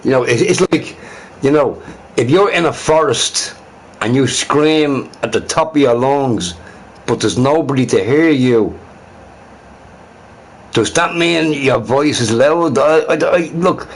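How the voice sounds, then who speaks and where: A man's voice: mid-range voice, expressive, a little slow. A man streaming on his own, chatting casually in a rambling, profane way and laughing often. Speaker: livestreamer. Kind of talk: rambling solo stream chat.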